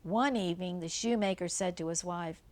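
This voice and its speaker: A woman's voice: lowish voice, moderate pace, a little mellow. An older woman reads a children's storybook aloud like a grandmother, adding simple, chatty explanations for a young listener.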